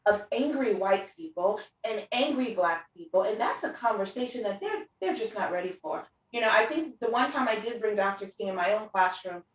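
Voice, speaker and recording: The sound is roomy and a little distant from the microphone. A middle-aged woman with a medium-pitched voice hosts a casual conversation. She talks in an anecdotal way, slipping into quoted remarks as she goes. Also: lively intonation, brisk, hardly any pauses. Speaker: interview host and preschool teacher. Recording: roomy echo, distant from the mic.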